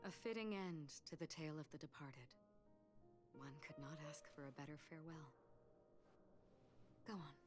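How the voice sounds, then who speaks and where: A woman playing a calm, solemn, gentle woman in a video game, speaking in a measured, hushed, wistful way. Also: deep voice, natural voice. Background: game music.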